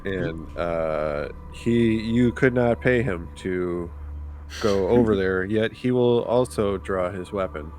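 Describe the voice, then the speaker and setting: A man playing a tabletop game, describing his character's actions in a halting, dry way. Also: low voice, darkish tone. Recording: faint background noise.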